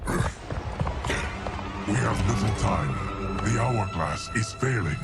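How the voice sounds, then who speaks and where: Slow, low-voiced grave ally. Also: male voice, lively intonation, loud music behind the voice, heavily acted. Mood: urgent, grave. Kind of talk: video game cutscene acting.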